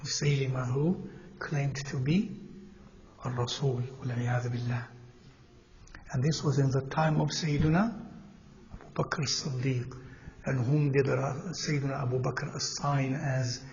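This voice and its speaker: A man teaching a congregation in a mosque, speaking in a measured, halting way with pauses and self-corrections, and putting questions to his listeners. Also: medium pitch, fairly even intonation, muffled recording. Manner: asks the audience questions as he teaches. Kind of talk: Islamic lecture to a congregation. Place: mosque hall.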